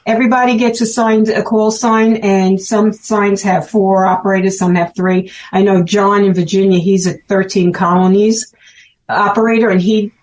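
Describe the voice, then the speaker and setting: A woman's voice: medium pitch, steady tone, normal speed, a little mellow, slight background noise. A woman with a Brooklyn accent, chatting as a guest and often laughing as she explains things. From Brooklyn, New York. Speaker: guest, special event organizer. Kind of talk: casual chat.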